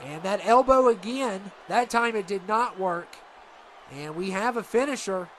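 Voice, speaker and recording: A man with a stuffed-up nose doing rambling, excitable play-by-play for a video game wrestling show. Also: high-pitched, unhurried, slight background noise. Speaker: commentator.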